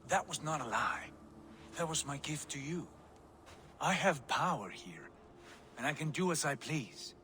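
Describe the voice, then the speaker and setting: A man's voice: a little high, animated, a little slow, long pauses, pushed and bright, slight background noise. A man playing a boisterous, commanding Norse god in a video game, hearty and theatrical. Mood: imperious. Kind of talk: dramatic game cutscene acting.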